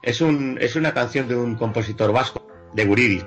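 A man's voice: mid-range voice, a little fast, fairly bright tone, soft background music. A man from Madrid with a Madrid Spanish accent, chatting casually as a radio co-host and repeating his words. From Spain, Madrid.

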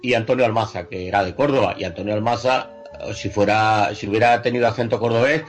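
A man's voice: on the low side, steady tone, fast, soft background music. A man from Madrid with a Madrid Spanish accent, chatting casually as a radio co-host and repeating his words. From Spain, Madrid.

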